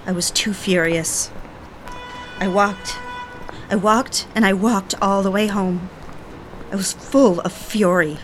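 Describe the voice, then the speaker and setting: A woman's voice: medium pitch, slight background noise, close to an everyday voice. An actress as an emotional, defiant independent woman. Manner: emotional, emphatic, theatrical. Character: defiant, indignant young independent woman, confronting and confiding. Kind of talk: dramatic audio-drama acting and diary-like narration.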